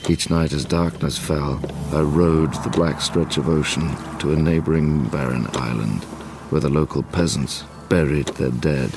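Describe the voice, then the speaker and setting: A man's voice: very deep voice, steady tone, unhurried, few pauses, noisy background. A male actor playing a brooding, obsessive scientist in a gothic audio drama, formal and intense. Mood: grim, haunted.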